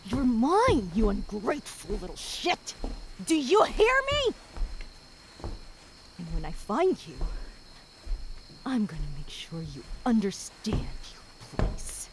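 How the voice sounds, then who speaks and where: A woman playing a sadistic, possessive female demon in an ASMR roleplay, theatrical, slipping between breathy whispers and menacing outbursts. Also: a little high, wildly swooping pitch, slow, long pauses, background noise, heavily acted. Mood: possessive rage. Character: sadistic, obsessive, possessive female demon stalking her pet.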